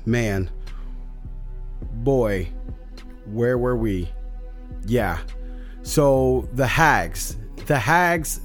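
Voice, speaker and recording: A man with a slightly hoarse voice casually recapping his tabletop campaign as a solo podcast host, rambling with many fillers and restarts. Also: mid-range voice.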